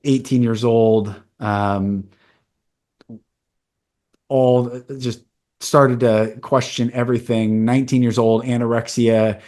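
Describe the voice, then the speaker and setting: A man's voice: mid-range voice, little pitch movement, takes it slowly, long pauses, a little mellow. A man in his forties with a mid-range voice hosts a podcast. He shares a personal story in a candid, earnest, conversational way. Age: 40s. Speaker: podcast host. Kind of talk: casual conversation.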